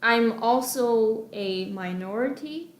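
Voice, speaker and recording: A young adult woman from China, Chinese as her first language, talking through her views in a measured, explanatory way with frequent pauses and ums. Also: a little high, lively intonation, roomy sound, background noise. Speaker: video responder. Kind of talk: monologue.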